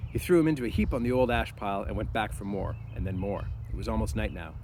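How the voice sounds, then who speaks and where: A man's voice: fairly low pitch, expressive, quick pace, a little mellow, a little hiss or hum. A man reading a novel aloud as a teacher, breaking off for informal commentary and thinking aloud. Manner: casual, thinking aloud, frequent throat clearing.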